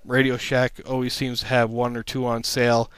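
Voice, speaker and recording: Clear-voiced man presenting an informal tutorial. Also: medium pitch, completely flat delivery, normal speed, a bit bright, no echo, clean studio sound. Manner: plain-spoken, matter-of-fact explaining. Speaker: instructor, presenter. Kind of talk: informal slide presentation narration.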